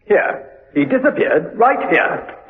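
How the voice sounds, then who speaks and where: A woman playing a brisk, baffled prison colonel in a radio drama, husky and clipped in manner. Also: mid-range voice, big pitch swings, exaggerated voice. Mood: puzzled, businesslike. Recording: muffled, lo-fi radio recording.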